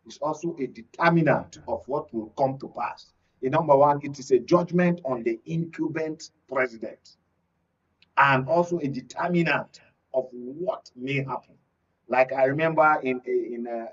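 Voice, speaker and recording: Husky-voiced Nigerian man on a discussion panel. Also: medium pitch, distant mic, muffled recording. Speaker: panel guest. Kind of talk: political analysis in a panel discussion.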